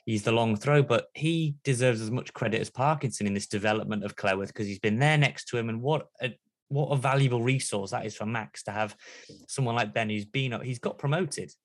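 Brief: male co-host, medium pitch, enthusiastic casual talk. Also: fairly quick. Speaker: podcast co-host. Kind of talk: casual podcast chat.

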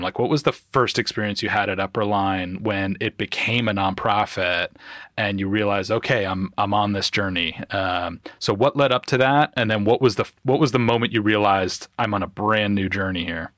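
Low-voiced rambling male podcast host. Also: a bit bright, no echo, clean studio sound. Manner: rambling, filler-heavy, enthusiastic. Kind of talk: casual conversational interview.

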